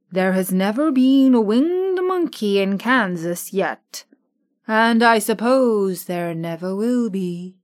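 A woman voicing a courteous male winged monkey king who speaks formally and politely in a storybook reading. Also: fairly high pitch.

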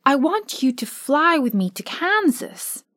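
A woman voicing an eager young girl far from home, speaking in a high, pleading way in a storybook reading. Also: very animated, measured pace, a bit bright, dry recording, clean studio sound, full character voice.

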